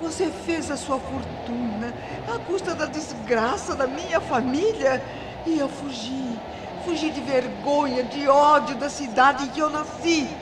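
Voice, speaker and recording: A woman's voice: sits high, measured pace, noisy background. An actress playing the vengeful, grieving ghost of a wronged woman in a radio mystery drama, declaiming bitterly and theatrically. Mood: bitter, anguished. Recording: AM radio archive, loud music behind. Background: loud dramatic music.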